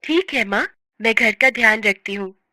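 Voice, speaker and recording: A woman's voice: fairly high pitch, normal speed, bright, forceful tone, no room sound, clean studio sound. A woman voicing an innocent little girl in a story, sweet, childlike and plaintive. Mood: sweet, coaxing. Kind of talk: dramatized story reading.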